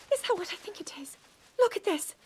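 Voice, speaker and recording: An actress voicing an earnest young princess and scholar, curious and dutiful, who talks in a studious, eager way. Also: female voice, very high voice. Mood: excited. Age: young adult. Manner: earnest, studious, easily excited.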